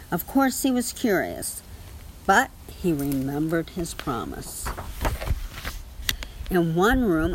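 Woman, slightly low pitch, expressive children's storybook reading, faint noise behind the voice. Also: poor audio. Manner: expressive storytelling with small spoken asides. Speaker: story reader. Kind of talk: children's picture book read aloud.

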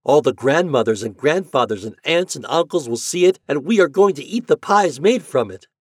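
A man voicing excited young children in a storybook, exclaiming with gleeful delight. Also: a little high, moderate pace, breathy edge, no room sound, studio quality, pitched above the performer's own voice.

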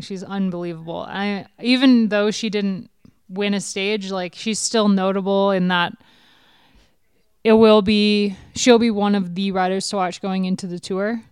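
Enthusiastic woman co-host, very clear, dry close recording. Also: medium pitch, no room sound. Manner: enthusiastic, chatty, lots of "like". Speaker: podcast co-host. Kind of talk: casual cycling chat.

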